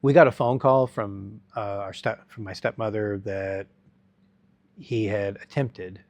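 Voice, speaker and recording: A middle-aged man telling his life story as an interview guest, halting and rambling with many restarts. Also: fairly low pitch, fairly even intonation, a little mellow, no echo, highs cut off.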